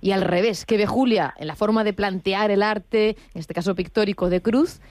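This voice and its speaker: A woman's voice: medium pitch, normal speed, darkish tone, dry recording. Playful woman radio host. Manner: playful, teasing. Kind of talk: casual radio chat.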